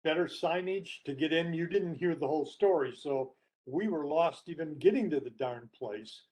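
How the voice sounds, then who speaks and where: A man's voice: a little high, normal speed, a little mellow, low-quality recording. A man telling stories conversationally as a guest speaker, emphatic and listing his points in short phrases. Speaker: guest speaker and book co-author. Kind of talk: conversational storytelling in a webinar discussion.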